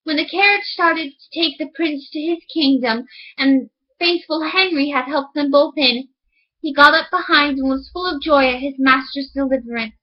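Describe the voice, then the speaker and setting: A woman's voice: high-pitched, normal speed. A woman reading a fairy tale aloud as a storyteller, recorded with slightly dull, lo-fi sound.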